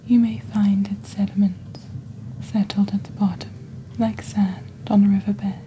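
A young woman acts out a composed, mysterious witch on a shopping channel. The voice is very dark and mellow, soft and half-whispered close to the mic. She presents in slow, deliberate ASMR phrases over faint background ambience. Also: medium pitch, little pitch movement, a little hiss or hum, close to an everyday voice, rough recording. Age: young adult. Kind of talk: ASMR roleplay, scripted product presentation.

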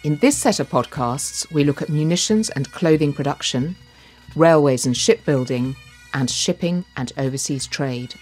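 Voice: mid-range voice, normal speed. A narrator formally reading a scripted introduction to a history podcast series. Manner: formal, read-aloud announcing. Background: faint bagpipe music.

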